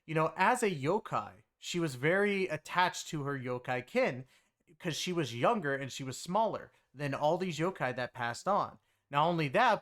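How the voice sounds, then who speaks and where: A man's voice: fairly high pitch, fairly bright tone. A man giving a casual, rambling game story review in a conversational way, leaning on filler phrases. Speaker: game reviewer and content creator.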